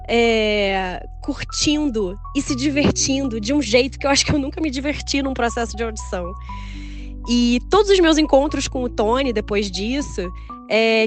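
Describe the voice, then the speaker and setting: A woman from theater speaking an affectionate recorded tribute, stringing loving phrases together in a steady rhythm. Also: on the high side. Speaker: theater artist sending a tribute message. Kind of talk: recorded audio message, personal remembrance. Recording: voice message with faint music underneath.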